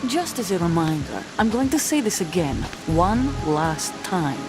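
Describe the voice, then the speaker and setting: An actress playing a defiant, bitter young mercenary commander in a video game, with a curt manner. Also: female voice, fairly low pitch, very animated, unhurried, music in the background. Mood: firm, resolute. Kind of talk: dramatic video game cutscene acting.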